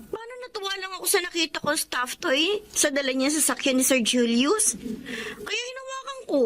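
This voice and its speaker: Sulky hurt schoolgirl in a radio drama, really high n swingy voice, plaintive. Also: big pitch swings, normal speed, fairly bright tone, slight background noise, full character voice. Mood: hurt, puzzled. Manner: plaintive, emotional.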